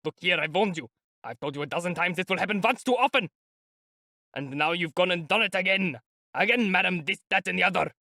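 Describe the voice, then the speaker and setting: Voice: medium pitch, normal speed, no echo, studio quality, natural voice. A reader voicing a hot-tempered police lieutenant who blusters and scolds. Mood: furious. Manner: shouting, blustering. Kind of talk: audiobook character voice.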